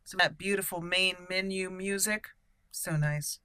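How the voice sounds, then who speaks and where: A woman's voice: fairly low pitch, steady tone, measured pace, sharp tone, clear, resonant tone, no room sound. A woman hosting a casual game walkthrough, thinking aloud in short fragments as she plays. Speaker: gaming channel host.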